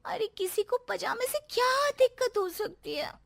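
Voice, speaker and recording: A woman voicing a cheerful, giggly young daughter-in-law in a cartoon who squeals when excited. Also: very high-pitched, slow pace, creaky, pitched above the performer's own voice, heavily acted. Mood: distressed.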